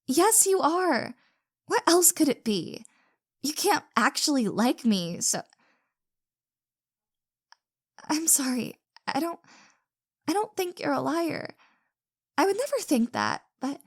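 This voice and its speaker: An anxious young woman played by a woman in an intimate audio drama, full of self-doubt and apology. She speaks slowly and haltingly in a soft voice with a creaky edge, stammering and sighing, often sinking to a whisper. The sound is close and dry. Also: fairly high pitch, no room sound, exaggerated voice. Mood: disbelieving, upset. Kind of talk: intimate audio roleplay acting.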